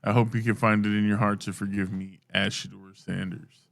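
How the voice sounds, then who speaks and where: A man's voice: lowish voice, flat delivery, moderate pace, creaky, fairly bright tone. A man co-hosting casual sports talk with a blunt, emphatic, slangy delivery. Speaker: podcast co-host.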